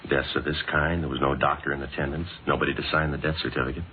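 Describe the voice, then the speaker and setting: Radio detective sergeant: extremely low voice, quick and deadpan, matter-of-fact, old crime drama sound. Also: male voice. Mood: polite, businesslike. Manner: clipped, deadpan, polite. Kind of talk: 1940s-50s radio drama acting and narration. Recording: noisy, phone-like old radio recording.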